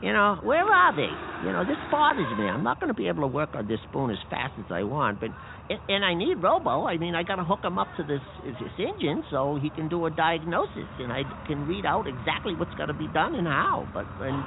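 A man's voice: a little high, brisk, vocal fry. A man voicing a frantic, stammering male kidney bean who runs a garage, in a comedic audio drama. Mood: searching, grumbling. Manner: stammering, excitable. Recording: phone-like lo-fi with noise.

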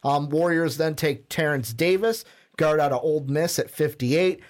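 Male podcast co-host, casual list reader with a slightly higher voice who fills pauses with ums. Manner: plain list reading with filler ums. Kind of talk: casual podcast chat, reading out draft picks.

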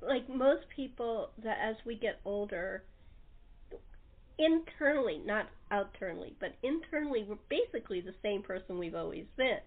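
A woman's voice: medium pitch, animated, moderate pace. A woman hosting a casual interview chat, speaking in an earnest, emphatic way. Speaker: radio show host. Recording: muffled phone-like line.

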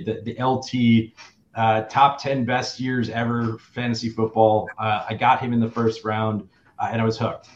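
A man's voice: on the low side, slight background noise. A man in his early forties from Wisconsin, chatting casually as an interview guest, upbeat with lots of filler words. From Wisconsin, USA. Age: early 40s. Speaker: guest, fantasy football player. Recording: roomy, dull lo-fi sound.

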